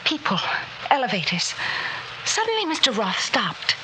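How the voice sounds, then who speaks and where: Anxious schoolteacher woman, slightly high voice, polite, earnest, dramatic 1960s radio acting, clearly noisy old recording. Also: wildly swooping pitch, normal speed, pushed and bright, full character voice. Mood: tense recounting.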